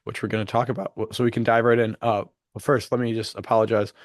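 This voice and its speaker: A man's voice: mid-range voice, flat delivery, fast, no room sound, studio quality. A young man co-hosting a podcast in casual chat, enthusiastic and full of fillers, his voice a bit worn from being sick. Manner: chatty, enthusiastic, many fillers. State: losing his voice after illness. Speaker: podcast co-host.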